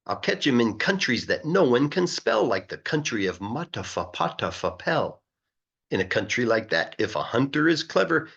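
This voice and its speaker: A man's voice: mid-range voice, slightly rough, dull audio, full character voice. Man reading as eager, boastful storybook boy. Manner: excited, boastful, sing-song rhyming delivery. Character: eager, boastful young boy dreaming up a zoo.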